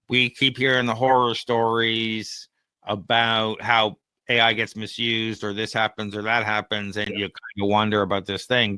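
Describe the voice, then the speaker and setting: A man's voice: mid-range voice, pushed and bright, clear, resonant tone, no echo, poor audio. A man co-hosting a podcast, giving opinions in casual conversation and explaining them with everyday examples. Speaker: podcast co-host and AI consultant.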